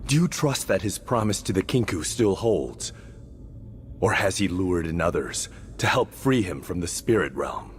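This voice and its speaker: An actor playing a solemn, steadfast warrior seneschal who speaks in a grave, earnest, dramatic game style. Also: male voice, low voice, very animated, normal speed, a little hiss or hum. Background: music.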